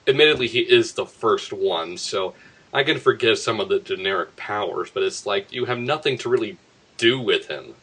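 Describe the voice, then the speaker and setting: A man's voice: lowish voice, expressive, normal speed, a bit bright, husky. A man co-hosting a casual group commentary, giving blunt, opinionated takes on a list.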